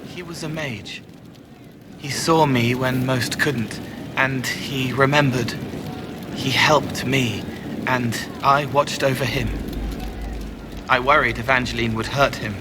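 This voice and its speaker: A gentle, guilt-ridden young spirit acted by a man in a fantasy video game. He talks slowly in halting, poetic fragments. Noisy ambience runs behind the voice. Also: medium pitch, fairly even intonation, close to an everyday voice. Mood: sad, wistful.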